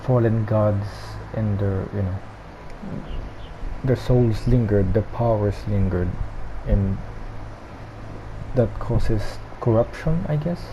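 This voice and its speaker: A woman's voice: rumbling low, takes it slowly, pauses often, lo-fi sound, noisy background. A woman giving casual, hesitant gameplay commentary, thinking aloud in short muttered remarks. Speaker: video game streamer. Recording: game music behind the voice.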